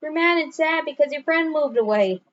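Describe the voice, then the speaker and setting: Woman reading as a gentle, understanding storybook father. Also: sits high, moderate pace, highs cut off, voice pushed up. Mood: comforting. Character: calm, understanding father turtle comforting his child.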